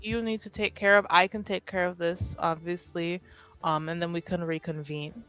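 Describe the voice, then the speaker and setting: A woman's voice: fairly low pitch, steady tone, moderate pace, fairly bright tone, clear, resonant tone, faint music behind. A woman player bantering casually and improvising dialogue in a tabletop roleplaying game.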